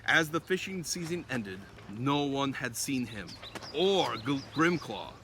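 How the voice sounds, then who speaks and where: A man narrating a children's story as an expressive storyteller. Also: mid-range voice, slow pace, slight background noise.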